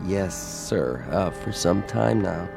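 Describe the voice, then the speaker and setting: Creaky, deferential club doorman. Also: deep voice, animated, over loud music, heavily acted. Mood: dutiful. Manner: polite, deferential, brisk with juniors. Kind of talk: radio drama acting.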